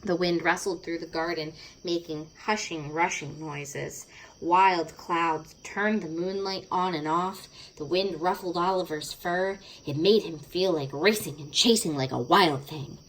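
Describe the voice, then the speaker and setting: Low-voiced, unhurried woman reading a storybook. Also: a bit bright, slight background noise, low-quality recording. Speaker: storybook narrator. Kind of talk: expressive children's read-aloud.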